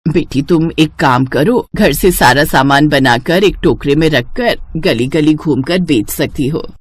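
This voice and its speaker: A woman voicing an ailing, gentle mother in a cartoon story, with a soft and motherly manner. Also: sits low, a little slow, dry recording, voice pushed down. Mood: supportive. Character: ailing, gentle, supportive middle-aged mother.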